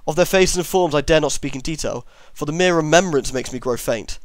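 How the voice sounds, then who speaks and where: A man's voice: fairly high pitch, fairly quick, no room sound. A man narrating a horror story as an amateur reader, now and then stumbling over long words and correcting himself.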